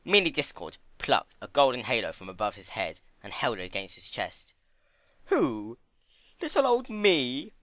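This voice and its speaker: Bright, expressive man narrating a story. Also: on the low side, fairly quick, hardly any pauses, dry recording. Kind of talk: dramatic reading of fan fiction.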